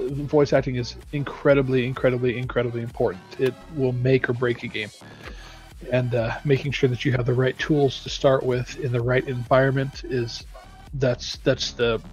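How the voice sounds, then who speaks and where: A man's voice: medium pitch, a little mellow, muffled recording. A man giving informal advice as an audio lead on a live stream, stressing points by repeating words.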